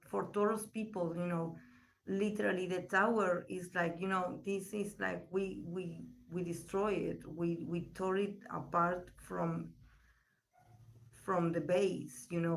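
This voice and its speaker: A woman reading tarot on a live stream and answering chat questions. Her voice is still recovering, and she talks in a slow, searching way, with pauses and repeated words. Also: fairly low pitch, slight background noise, rough recording. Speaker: tarot reader. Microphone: poor live-stream mic.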